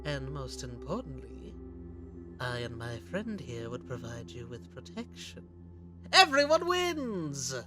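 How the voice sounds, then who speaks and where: A man voicing a sly, courteous, menacing gentleman hunter in a comic dub, speaking in a theatrical, genteel way. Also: mid-range voice, sing-song, slow, heavily acted. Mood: persuasive, sly. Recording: background music under voice.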